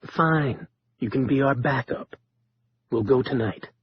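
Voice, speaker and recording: A man doing a calm, guarded leader. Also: medium pitch, unhurried, slightly rough, dry recording, muffled recording. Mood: measured.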